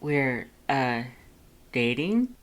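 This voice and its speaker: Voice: medium pitch, big pitch swings, drawn-out words. A narrator voicing a friendly, flirtatious male skeleton in an audiobook story. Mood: awkward.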